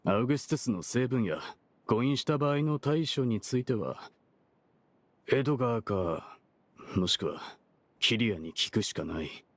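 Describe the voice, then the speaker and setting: An actor playing a brash, shifty perfume merchant in an anime game dub, talking in a rough, argumentative, casual way. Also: male voice, mid-range voice, lively intonation, normal speed, long pauses, slight background noise, natural voice. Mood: defensive, persuasive. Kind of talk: Japanese anime game dubbing.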